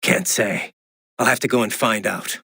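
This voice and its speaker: An actor, a man, playing a gruff, wry cyborg soldier in a video game, with a gravelly, raspy voice and a laconic, informal way of talking. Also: lowish voice, moderate pace, bright, forceful tone, heavily acted. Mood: wry, casual. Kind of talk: scripted video game voice acting.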